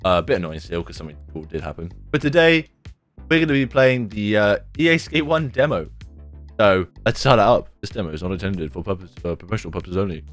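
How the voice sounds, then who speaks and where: A man in his twenties, chatting casually over gameplay in his bedroom, his voice a little deeper and rough after a sore throat. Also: animated, fast talker, soft background music. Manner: chatty, rambling, says "like" and "dude" a lot. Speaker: gaming YouTuber. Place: bedroom at home, indoors.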